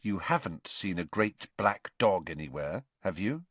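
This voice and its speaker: A man acts out a superstitious, easily riled schoolboy in a storybook. He is plain-spoken and earnest, with very mobile pitch and a close, dry sound. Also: wildly swooping pitch, a little slow, a bit bright, no echo, exaggerated voice. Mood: low, serious. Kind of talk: audiobook character voice.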